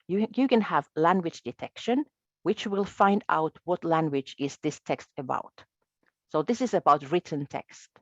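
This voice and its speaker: A woman from Finland, a Finnish native speaker, explains software features step by step in a low voice. Her delivery is methodical, with small restarts as she points things out. The sound is dry and a little dull, like a webcast recording. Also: dry recording. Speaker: presenter. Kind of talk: software demo walkthrough.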